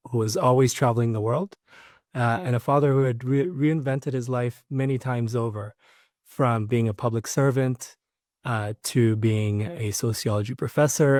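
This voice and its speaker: A man's voice: mid-range voice, darkish tone, dry recording, studio quality. A man from Ethiopia, a start-up founder, answering interview questions thoughtfully with frequent uh fillers.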